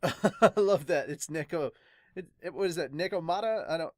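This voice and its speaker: An excitable podcast host chatting casually, in the manner of a vlog, with lots of exclamations. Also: medium pitch, moderate pace, no room sound, studio quality. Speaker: host of a reaction and dubbing podcast.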